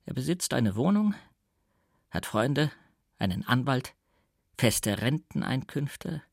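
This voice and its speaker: Man playing a measured, melancholy count. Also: medium pitch, very animated, creaky, no room sound, studio quality, exaggerated voice. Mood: wry musing. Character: melancholy, reserved count musing and feigning another's identity. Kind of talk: audiobook character voice.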